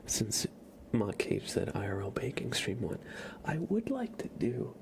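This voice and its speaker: Man, hushed whisper, gravelly creak, casual rambling ASMR chat, soft-spoken, slightly stuffy nose. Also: medium pitch, big pitch swings, a little slow. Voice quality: breathy whisper with a creaky edge. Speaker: ASMR live streamer.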